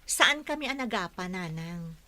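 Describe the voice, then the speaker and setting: A woman's voice: lowish voice, expressive, moderate pace, pushed and bright, dry recording. A woman from the Philippines voicing an upset young wife and mother in an audio drama. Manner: upset, emotional.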